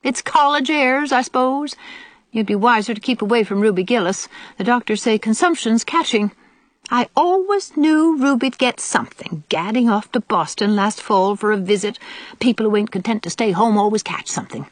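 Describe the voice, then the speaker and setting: Woman acting a shrill, sour old country aunt. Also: normal speed, dry recording, lightly acted. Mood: needling. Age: older. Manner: disagreeable, sarcastic, nagging. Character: sour, sharp-tongued old country aunt, complaining and sarcastic. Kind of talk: audiobook character voice.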